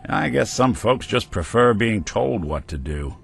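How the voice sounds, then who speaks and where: An actor voicing a weary, wry, good-hearted middle-aged robot private detective in a video game, with an American accent and deadpan delivery. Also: male voice, on the low side, measured pace, creaky, a little hiss or hum, full character voice. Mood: sincere, musing. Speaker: companion character in a video game.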